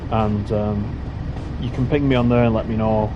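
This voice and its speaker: A man's voice: lowish voice, fairly even intonation, normal speed, highs cut off. A man with a Lancashire accent, giving casual running commentary as he live-streams a game, chatting in rambling asides that he often corrects midway. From Lancashire, England. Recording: train engine noise behind voice. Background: simulated train engine and rail sounds.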